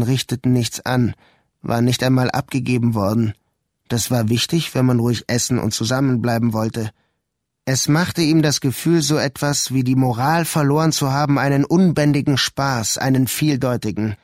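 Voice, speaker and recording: A man narrating a literary radio drama in a measured, sober and deliberate way. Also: mid-range voice, a little mellow, no room sound, studio quality. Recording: music clearly behind the voice.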